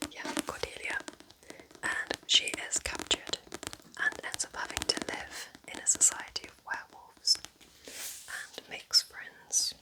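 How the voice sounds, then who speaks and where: Soft whispering woman, ASMR style. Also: poor audio. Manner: gentle, unhurried, chatty and informal. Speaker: ASMR host recommending books. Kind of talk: ASMR roleplay, intimate whispering.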